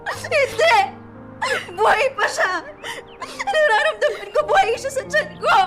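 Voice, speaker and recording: A woman's voice: very high voice, very animated, unhurried, heavily acted. An actress playing a young woman crying and wailing over her lost baby in a radio drama. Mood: sobbing grief. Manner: wailing, sobbing, frantic. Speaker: grieving mother in a radio drama. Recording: drama scene over loud music.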